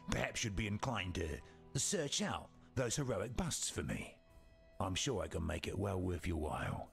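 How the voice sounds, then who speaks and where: A man playing a sly, wry smuggler in a video game, a gravelly voice and sarcastic, colloquial speech. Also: sits low, fairly bright tone, exaggerated voice. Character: roguish, sly male smuggler and fixer, mercenary but wry. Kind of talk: video game character dialogue.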